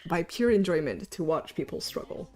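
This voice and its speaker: Lively, chatty woman animator. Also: moderate pace, faint music behind. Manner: chatty and self-deprecating, lively pitch. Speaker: animator walking through her animatic. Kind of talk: casual livestream chat.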